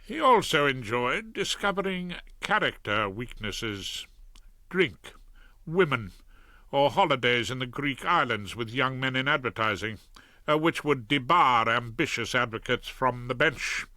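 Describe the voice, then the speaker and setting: A man's voice: medium pitch, lively intonation, measured pace, sharp tone, dry recording. An older man reading an audiobook in a wry, ironic first-person storytelling manner on a close studio mic. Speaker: audiobook narrator.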